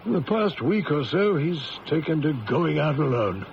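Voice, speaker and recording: An actor playing a genial, inquisitive company boss in an old-time radio drama, chatty and genteel. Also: male voice, on the high side, lively intonation, moderate pace, vocal fry. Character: genial, inquisitive gentleman diamond-firm boss in South Africa. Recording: dull lo-fi radio recording, faint hiss.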